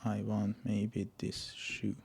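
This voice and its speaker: A man, a software engineer thinking aloud while he codes, halting and often dropping into muttered whispers. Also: on the low side, completely flat delivery, gravelly, breathy edge. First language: Serbian.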